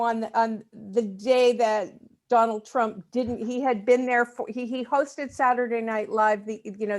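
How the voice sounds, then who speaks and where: A woman speaks in a fairly high voice in casual group conversation. She feels her way through sentences, often halting and repeating words before she carries on. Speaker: guest in a group discussion. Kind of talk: casual video-call chat.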